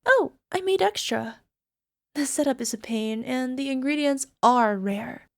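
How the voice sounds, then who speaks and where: A woman's voice: high voice, expressive, a little slow, gravelly, no echo, studio quality, full character voice. A woman playing a lovesick, possessive young alchemist in an ASMR girlfriend roleplay, speaking in a coy, coaxing, sweetly menacing way. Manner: coy, coaxing, giggly, tag questions. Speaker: voice actor.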